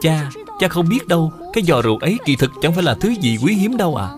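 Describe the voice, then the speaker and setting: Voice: medium pitch, big pitch swings, fast, soft background music, lightly acted. A performer voicing an earnest, respectful young boy in a cartoon, speaking politely and eagerly. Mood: worried, questioning. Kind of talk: cartoon dub acting.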